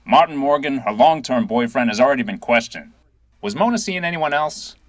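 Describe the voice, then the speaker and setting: An actor playing a brisk police sergeant with an insistent, official manner in an audio drama. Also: male voice, medium pitch, lively intonation, dry recording, studio quality, lightly acted. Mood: businesslike. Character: brisk male police sergeant questioning witnesses.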